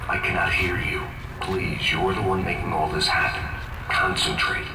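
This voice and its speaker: An actor playing a mysterious, calm but urgent guide figure who gives measured instructions in a video game. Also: male voice, low-pitched, recorded from a distance, noisy background, lightly acted. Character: mysterious older male guide, calm, commanding. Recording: game cutscene with loud music and echo.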